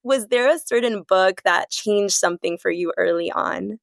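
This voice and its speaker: A woman hosting a podcast, reading a scripted intro and asking interview questions in a smooth, measured way. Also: mid-range voice, no room sound, clean studio sound. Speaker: podcast host.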